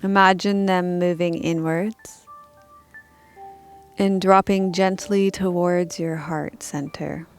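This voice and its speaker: A woman leading a guided meditation as a teacher, speaking calmly and gently in measured instructions with pauses. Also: on the low side, faint music behind.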